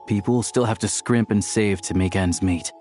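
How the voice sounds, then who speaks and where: An actor playing a level-headed, earnest young man in a video game, explaining things in a measured way. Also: fairly low pitch, darkish tone, faint music behind, lightly acted. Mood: grave, explaining.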